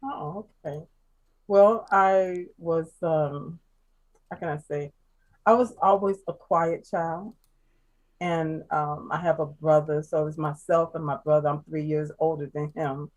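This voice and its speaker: A woman from Chicago, a guest telling her life story in casual chat, soft-spoken and halting, with many fillers and restarts. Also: sits low, steady tone, rough recording. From Chicago, USA.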